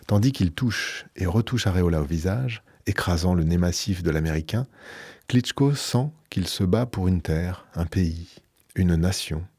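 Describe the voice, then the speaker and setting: Deep, husky man reading dramatically. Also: lively intonation, dark tone, dry recording, studio quality. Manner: measured, emphatic dramatic reading. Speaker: radio book columnist. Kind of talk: reading a book aloud, then a book review.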